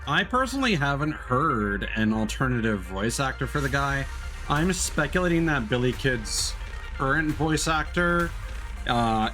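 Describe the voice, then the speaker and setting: A man streams a video game with casual, thoughtful commentary. His voice sits at an average pitch as he pauses to reason things out. Faint music plays behind him.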